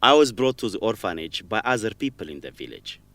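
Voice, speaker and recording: A man's voice: on the low side, big pitch swings, a little fast, fairly bright tone, no room sound. A man acting a serious, direct young student in a radio drama. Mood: guarded. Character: serious, guarded young Ethiopian student, an orphan.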